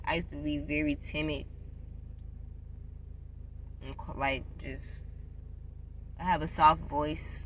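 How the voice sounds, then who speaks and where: A woman in her twenties chatting casually to camera, giving job advice, loud and playful and repeating herself often. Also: low voice, steady tone, slow, long pauses, a little hiss or hum. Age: 20s. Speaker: vlogger.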